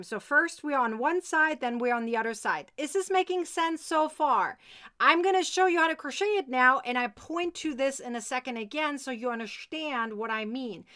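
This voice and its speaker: A woman's voice: sits high, bright, forceful tone. A woman hosting a live step-by-step crochet tutorial, chatty and insistent, often checking that listeners follow. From Germany.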